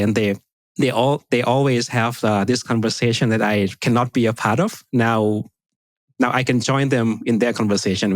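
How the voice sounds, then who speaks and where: A man's voice: medium pitch, no room sound. Earnest Thai-born man, dry sound. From Thailand. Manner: earnest, plain phrasing with small grammar slips. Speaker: guest, company founder. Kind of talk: podcast interview.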